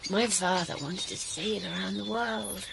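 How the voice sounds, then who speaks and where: An actress playing an honest, spirited young woman in a radio drama, breathy and unhurried. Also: medium pitch, steady tone, noisy background. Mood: reflective, wistful. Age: young adult. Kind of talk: radio drama acting, partly narrating.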